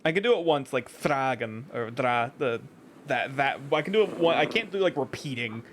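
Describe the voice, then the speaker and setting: A man's voice: medium pitch, animated, normal speed, fairly bright tone, slight background noise. A man running a tabletop game as dungeon master, laughing often and swearing casually.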